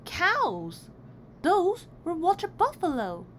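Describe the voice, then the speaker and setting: A woman voicing an imaginative, quietly defiant little boy in a storybook, with an emphatic delivery. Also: extremely high pitch, wildly swooping pitch, takes it slowly, pitched above the performer's own voice, heavily acted. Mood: indignant. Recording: clearly noisy background.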